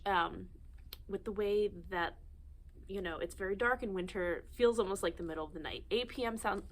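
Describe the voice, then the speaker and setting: A woman hosting a children's storytime, reading aloud and adding informal, chatty asides. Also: medium pitch. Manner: informal, conversational asides to young listeners. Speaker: library storytime host reading picture books aloud.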